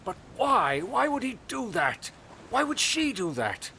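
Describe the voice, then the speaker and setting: A man's voice: very high voice, huge pitch swings, a little slow, creaky, sharp tone, slight background noise, heavily acted. An actor playing an earnest, easily flustered middle-aged father and ruler in an audio drama, emphatic and pleading, with folksy phrasing. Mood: exasperated.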